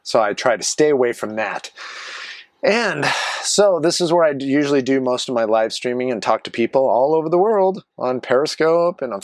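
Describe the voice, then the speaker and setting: A man's voice: medium pitch, dry recording, studio quality. A man in his forties, rambling casually to camera from a small garage office, chatty and self-mocking with wandering asides. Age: 40s. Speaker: vlogger and live streamer.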